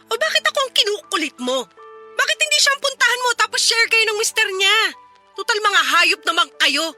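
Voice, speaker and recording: A woman's voice: extremely high pitch, animated, a little fast, bright, forceful tone, soft background music, full character voice. A woman voicing a wounded, sarcastic fiancée scolding her cheating man in a Filipino-accented radio drama. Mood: furious, sarcastic.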